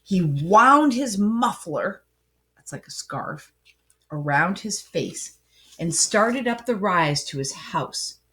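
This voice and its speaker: Slow, very expressive woman reading a storybook. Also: on the low side, a little hiss or hum. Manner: dramatic, emphatic phrasing with pauses. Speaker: storybook reader. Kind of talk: expressive children's story narration, with asides to listeners.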